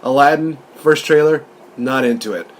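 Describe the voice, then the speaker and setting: A man's voice: medium pitch, normal speed. A man co-hosting a movie review show in a casual, conversational style.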